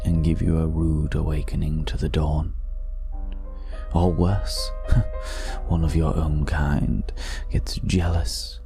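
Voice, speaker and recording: A man playing a seductive, ageless vampire in an intimate ASMR roleplay, speaking slowly and half in whispers, teasing with quiet menace, close to the microphone. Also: full character voice. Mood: grave warning. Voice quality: very deep, gravelly. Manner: slow, breathy half-whispered delivery with lingering pauses.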